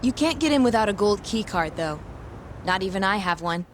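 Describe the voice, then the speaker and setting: An actress playing a polite, precise female hospital staff worker who explains things in a calm, informative way, in a game scene with faint music behind. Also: medium pitch, fairly quick, slight background noise, natural voice. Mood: explaining. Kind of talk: game dub acting.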